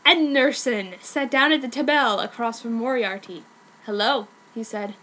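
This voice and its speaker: A woman with a higher-than-average voice reads comic fan fiction aloud as a dramatic performance. Her delivery is mock-serious and exaggerated, and the amateur recording carries noticeable background noise. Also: moderate pace, fairly bright tone.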